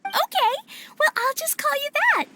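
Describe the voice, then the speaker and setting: A woman voicing an excitable, imaginative little girl with a childlike way of talking in a video game cutscene. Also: extremely high pitch, normal speed, very noisy. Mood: eager, explaining. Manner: childlike, refers to herself by name. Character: little girl, excitable, imaginative, naming everyone's story roles.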